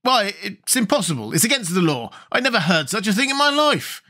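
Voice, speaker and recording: A man's voice: on the high side, very animated, fairly quick, bright, forceful tone, pitched above the performer's own voice, heavily acted. Man playing a genial, astonished gatekeeper, raised voice. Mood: astonished, dismissive. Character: pleasant, fat, easygoing male gatekeeper, easily astonished. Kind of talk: storybook character voice.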